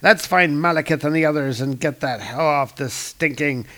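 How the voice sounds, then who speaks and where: A man voicing a coarse, cowardly and blustering dark elf soldier from a comic book. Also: a little high, moderate pace, close to an everyday voice. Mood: gruff alarm. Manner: blustering, exclamatory, insult-laden.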